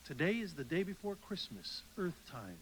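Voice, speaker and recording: An actor playing a senior angel in a live radio play, patiently recounting a mortal's life in a measured way over faint hiss. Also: male voice, high-pitched, sing-song, poor audio. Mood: grave, explaining.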